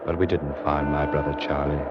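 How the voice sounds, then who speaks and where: A man's voice: a bass voice, little pitch movement, a little fast, heavy background noise. An actor playing an earnest young seaman, telling his tale with dramatic intensity in a 1940s radio drama. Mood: somber. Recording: muffled old radio recording, loud music.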